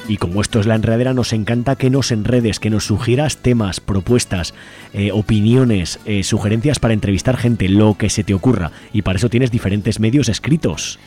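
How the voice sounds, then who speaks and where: Male community radio presenter, Spain accent, lower-pitched voice over a light music bed. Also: fairly quick, dark tone. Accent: Spanish from Spain. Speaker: radio host.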